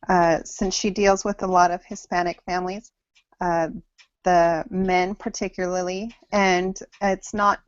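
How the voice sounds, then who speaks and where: A woman in her thirties from Samoa and Hawaii gives a class presentation over a video call, speaking very slowly and stopping often mid-sentence. Her voice sits a little low, and the sound is dull and lo-fi. It is dry, with no room echo. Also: level tone. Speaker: student presenting to an online class.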